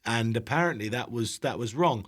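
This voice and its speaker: British man, podcast guest, casual comedic chat, irreverent, joking, mid-pitched voice. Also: lively intonation, moderate pace, fairly bright tone, breathy edge. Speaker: podcast guest, online cook.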